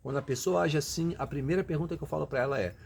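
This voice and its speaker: A man's voice: on the high side, rapid-fire, gravelly, a little mellow, a little hiss or hum. A Brazilian man giving emphatic, motivational advice directly to his viewers in a casual way. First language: Portuguese. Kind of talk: motivational casual talk to camera.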